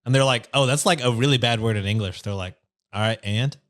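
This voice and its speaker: A man's voice: medium pitch, quick pace, a bit bright. A man chatting casually as a podcast guest, jokey and swearing freely. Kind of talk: casual chat.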